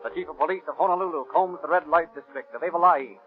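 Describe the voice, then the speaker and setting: An American actor playing an urgent narrator of a 1930s police radio drama, with a clipped bulletin delivery. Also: male voice, fairly high pitch, quick pace, close to an everyday voice. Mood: urgent, dramatic. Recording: tinny 1930s radio recording with music.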